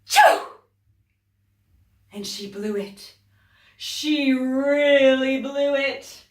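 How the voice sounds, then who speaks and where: A woman teacher reading a children's story aloud, expressive, dropping to whispers and rising to loud exclaims. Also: sits high, extremely slow. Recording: echoey room, distant mic.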